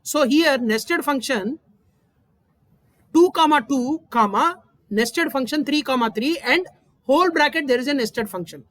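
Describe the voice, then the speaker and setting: High-voiced woman teaching coding. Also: a little slow, no echo. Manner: steady step-by-step explaining, frequent "right?". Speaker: instructor. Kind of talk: screen-recorded coding lesson.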